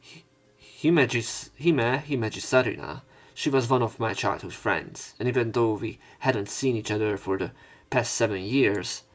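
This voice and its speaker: A man's voice: mid-range voice, animated, moderate pace, faint music behind. A man doing a casual let's play of a visual novel, reading the story aloud with rambling, conversational asides.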